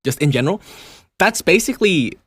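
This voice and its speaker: A man's voice: a little high, lively intonation, brisk, a bit bright, breathy edge, no room sound. A young man with a mild Australian accent, a podcast guest chatting casually, often laughing as he rambles.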